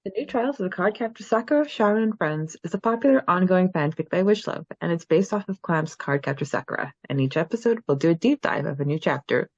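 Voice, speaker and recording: Lower-voiced woman podcaster, dull dry sound. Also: animated, quick pace, hardly any pauses, darkish tone, slightly rough, no echo. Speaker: podcast host. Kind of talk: casual chat and chapter recap.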